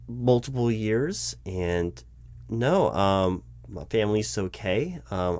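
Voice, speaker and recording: A man playing a nervous, baffled corporate recruiting manager in an audio roleplay, polite and earnest with halting, stammering speech. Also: on the low side. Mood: awkward, earnest. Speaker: roleplay performer. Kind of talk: one-sided audio roleplay of a job interview.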